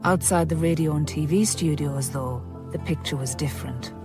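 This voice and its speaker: A woman's voice: low voice, normal speed, over music. An actress playing a troubled mother who recounts an epidemic in a sober, reflective radio drama style. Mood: wry, uneasy. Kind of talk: radio drama narration and dialogue.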